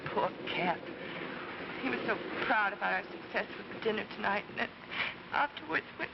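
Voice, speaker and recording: A woman playing a gentle, concerned character in a 1950s television drama. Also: mid-range voice, animated, moderate pace. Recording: muffled 1950s broadcast audio with clear noise.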